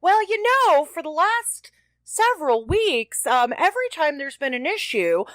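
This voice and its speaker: A woman's voice: sits high, very animated, a little slow, fairly bright tone, no room sound. A woman co-host talking casually and conversationally about a television show. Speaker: podcast co-host. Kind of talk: casual chat, TV episode discussion.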